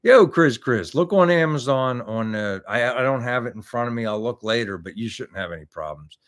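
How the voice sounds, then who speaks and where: A man's voice: medium pitch, animated, moderate pace. A man in his fifties hosting a car hobby livestream, chatting casually and rambling through answers to viewer questions with lots of restarts. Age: 50s. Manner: rambling, many restarts and fillers.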